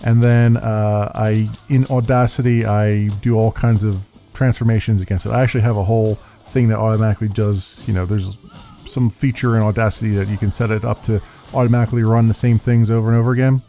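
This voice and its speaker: A man with a deep voice hosting a gaming channel, rambling through a casual update with many ums and restarts into a desktop mic. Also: flat delivery. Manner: halting, full of uhs and restarts. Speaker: YouTube gaming channel host. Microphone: USB desktop condenser mic. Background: soft background music.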